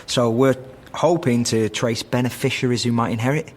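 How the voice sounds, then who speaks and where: Actor as polite, hesitant British researcher. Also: male voice, medium pitch, normal speed, close to an everyday voice. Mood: polite, probing. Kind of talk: naturalistic radio drama acting.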